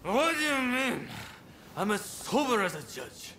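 A man's voice: very high-pitched, very animated, a little slow, bright, forceful tone, a little hiss or hum, exaggerated voice. A man playing a tipsy, boastful samurai flirt in a video game comic scene. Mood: drunken bragging.

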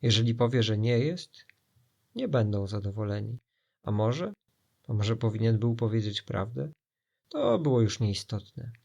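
A man's voice: on the low side, expressive, unhurried, gravelly, mellow, dull audio. A man narrating a scripted children's story as a storyteller, in a dramatic style.

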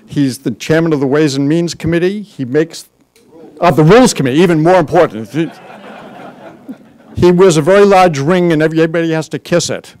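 A man's voice: on the high side, fairly quick, a little mellow. An older man with a broad Boston accent talks from a podium microphone in a big conference hall. He keeps it loose and joking, stumbling into restarts and fillers as he introduces the next speakers. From Boston area, US. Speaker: board member introducing speakers. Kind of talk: informal podium speech. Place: large conference hall, indoors.

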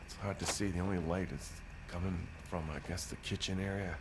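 An actor playing a gritty male cop reporting tersely and haltingly from a crime scene in an audio drama. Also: low-pitched, fairly even intonation, noisy background, natural voice. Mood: wary. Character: gritty male cop radioing in from a wrecked house. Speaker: police investigator in an audio drama game. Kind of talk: radio drama acting, field report.

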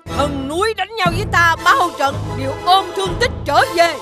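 High, imperious cartoon villainess. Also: female voice, lively intonation, normal speed, music in the background. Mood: haughty, mocking. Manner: imperious, taunting. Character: haughty villainess with magic mirror, gloating and scornful. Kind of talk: animated fairy tale voice acting.

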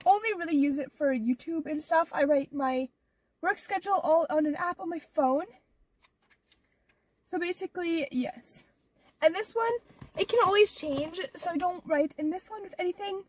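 Teen girl vlogger, very high voice, stuffed-up nose, rambling with fillers, heard from a distance. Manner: rambling, many "like" and "or whatever" fillers. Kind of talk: casual vlog chat. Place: inside her car.

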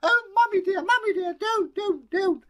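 A man voicing a silly, easily distraught little girl in a folktale, in a shrill, whiny falsetto. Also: moderate pace, bright, forceful tone. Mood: pleading, tearful.

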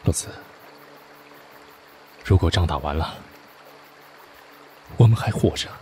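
A man's voice: sits low, slow, background noise. A man playing a stern but devoted army officer, terse and brooding, in a radio drama. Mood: quiet, tender. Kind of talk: Chinese fan radio drama acting.

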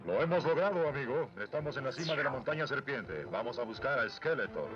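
Man as brave cartoon hero, confident, lively pitch, Latin American accent, dull sound, loud music. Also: fairly quick, natural voice. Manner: confident, heroic. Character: brave, kindly muscular young hero. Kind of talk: Latin American Spanish cartoon dub acting.

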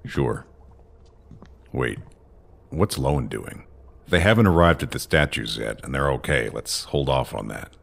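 An actor playing a stern, watchful mission leader in an audio drama, commanding and deliberate, with a gravelly, husky voice. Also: male voice, extremely low pitch, expressive, fairly bright tone, full character voice.

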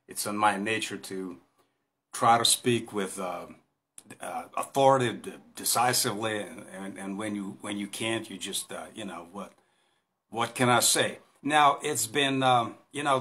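A man's voice: lowish voice, sharp tone. Casting: Russian-accented man in his fifties, hesitant Bible teacher speaking to a phone in a small room. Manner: hesitant, many fillers and restarts, gestures while talking. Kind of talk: informal recorded Bible talk. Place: small indoor room against a plain wall. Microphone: phone camera at arm's length.

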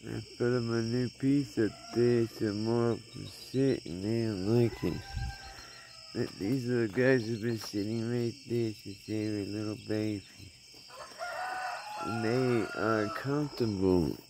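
Homesteader, laid-back and slangy, unhurried delivery, phone-recorded outside at night. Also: male voice, lowish voice, fairly even intonation, background noise. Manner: laid-back, talks to his chickens in slang. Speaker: homesteader vlogger. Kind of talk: casual talk to chickens while filming. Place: backyard homestead outdoors at night. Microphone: handheld phone camera. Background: crickets and night insects.

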